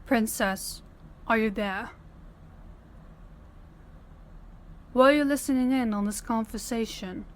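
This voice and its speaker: A woman's voice: a little high, close to an everyday voice. A woman playing a young princess, stern, principled and formal, in an audio roleplay. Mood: serious, urgent. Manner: formal, commanding, measured diction. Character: young princess, stern, authoritative, compassionate, defiant.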